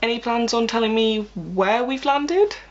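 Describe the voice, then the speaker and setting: A woman's voice: a little high, unhurried, dull audio, natural voice. A woman playing a sassy, sceptical and curious travelling companion in an audio drama. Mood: teasing.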